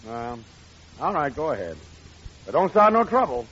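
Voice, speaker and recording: An actor playing a gruff, bossy watchman who sounds like a cop, in 1940s radio comedy style. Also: on the high side, big pitch swings, normal speed, a bit bright, exaggerated voice. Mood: grudging. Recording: dull noisy old radio recording.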